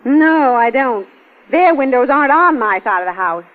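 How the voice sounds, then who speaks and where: Chatty, attention-hungry neighbour lady, high and animated, 1940s radio crime play, thin sound. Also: moderate pace. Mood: gossipy. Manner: coy, chatty. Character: gossipy, coy female neighbour, eager for attention. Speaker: actress in radio crime drama. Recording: old radio broadcast, thin narrow band.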